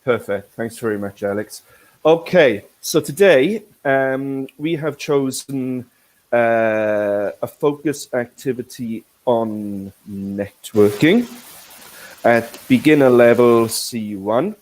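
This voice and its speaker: Slow-speaking Welsh-born male teacher. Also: mid-range voice, darkish tone. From Wales. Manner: slow and careful, many fillers, repeats words. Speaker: corporate English teacher, webinar host. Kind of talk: teaching webinar, explaining words.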